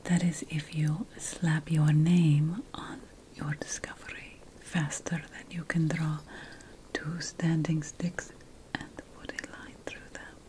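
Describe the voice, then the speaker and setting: A woman whispers a fantasy roleplay in ASMR style as a playful, self-important witch and potion master, breathy and deliberate, with pauses between phrases. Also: sits low, level tone, dark tone, rough recording. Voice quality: breathy, mostly unvoiced whisper. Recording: faint background noise.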